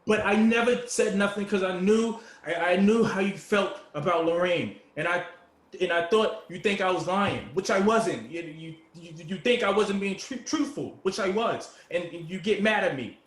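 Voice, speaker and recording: Young homeless man, played by a male actor: nervous, boyish, stammering, higher-pitched, stage drama read in an echoey space. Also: normal speed, natural voice. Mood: pleading, agitated. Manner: stammering, repeats himself. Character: anxious, childlike, eager young homeless man in his twenties. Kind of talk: stage play table reading.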